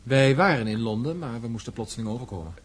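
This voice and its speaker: Husky, courteous young gentleman played by an actor. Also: medium pitch, fast, close to an everyday voice. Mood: courteous, firm. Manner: courteous, formal. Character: polite, earnest, persuasive young gentleman. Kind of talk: radio drama acting.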